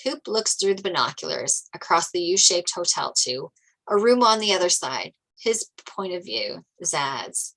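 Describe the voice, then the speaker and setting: A woman's voice: lowish voice, expressive, a little slow, gravelly, fairly bright tone, poor audio. A woman reading screenplay stage directions as scripted narration.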